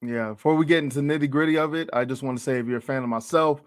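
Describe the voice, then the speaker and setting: A man's voice: mid-range voice, rapid-fire, no echo. Male interview host, mid-range voice, laid-back slangy delivery, very dry recording. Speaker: host and interviewer of a hip-hop show. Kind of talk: casual interview chat.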